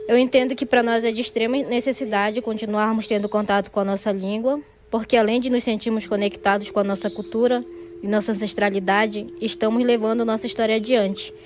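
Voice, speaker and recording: A young woman from Pará, Brazil, reading a personal statement as a university student in a calm, measured way. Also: on the high side, a little hiss or hum.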